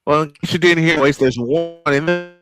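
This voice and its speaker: A man's voice: a little high, a little fast, fairly bright tone, faint music behind. A man calling a baseball game live in an old-school play-by-play style, with short clipped calls and chatty asides.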